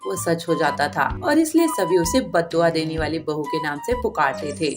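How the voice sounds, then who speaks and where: A woman's voice: sits low, expressive, normal speed, music in the background. A woman narrator reading a scripted children's story.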